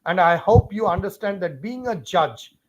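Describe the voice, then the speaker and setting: Indian English male teacher, fairly high-pitched, emphatic and repetitive, noisy live-stream audio. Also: animated, moderate pace. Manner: emphatic, repetitive, talks straight to viewers by name. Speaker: physics teacher hosting a live class.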